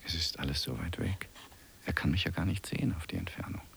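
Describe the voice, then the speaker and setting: A resentful, aloof man with a very low, gravelly voice. He broods in a hushed inner monologue for a radio play. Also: fast, in a whisper, exaggerated voice. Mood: resentful, brooding.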